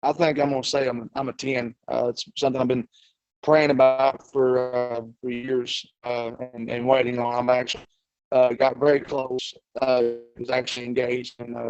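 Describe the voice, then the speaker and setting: Flat-voiced man in his thirties, halting. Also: mid-range voice, no echo, lo-fi sound. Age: 30s. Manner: halting, many fillers, earnest. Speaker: guest. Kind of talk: casual chat. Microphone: video call setup.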